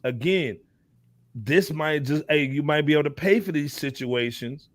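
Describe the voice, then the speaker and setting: A man with a fairly high voice speaks in a dry, echo-free sound. He gives casual commentary as a talk show panelist, in animated slang, and keeps checking that he is being followed. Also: normal speed. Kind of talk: casual hip hop commentary.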